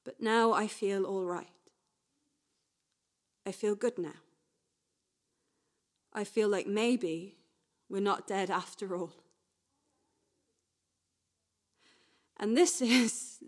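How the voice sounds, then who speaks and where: A woman playing a restless, wistful young woman in a confessional radio play monologue, speaking naturally in halting, repetitive phrases. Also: mid-range voice, lightly acted. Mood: hushed, tender. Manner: halting, repetitive, swinging from hushed to agitated.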